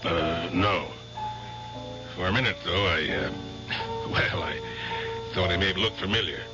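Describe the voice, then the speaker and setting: An actor playing a wealthy middle-aged man in a 1970s radio drama, gravelly and stammering when shaken. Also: deep voice, measured pace. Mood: rattled. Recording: dull lo-fi radio broadcast with music.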